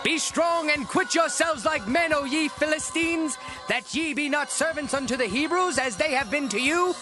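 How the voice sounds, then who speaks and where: A defiant ancient Philistine in a biblical drama. He declaims in a very high, urging voice over background music. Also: normal speed. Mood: rallying. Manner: declamatory, exhorting. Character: defiant Philistine man rallying his people. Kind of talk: dramatized scripture acting.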